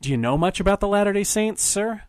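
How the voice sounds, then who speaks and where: Polite, eager young male missionaries, as a male storyteller puts them on, pitched noticeably higher than a plain speaking voice. Their voices are courteous and keen, recorded close and dry. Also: animated, fast, clear, resonant tone, dry recording.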